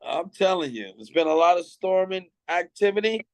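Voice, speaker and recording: A talk show host welcomes a guest with a lively, announcer-like introduction. The voice sits in a middle pitch range and is recorded dry and close. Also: normal speed, dry recording, poor audio. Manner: enthusiastic, announcer-like. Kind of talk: upbeat interview introduction.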